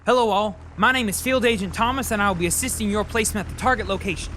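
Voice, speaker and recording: An actor playing an efficient, businesslike Santa's field agent who gives brisk official briefings in an audio play. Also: mid-range voice, fairly bright tone, soft background music, close to an everyday voice.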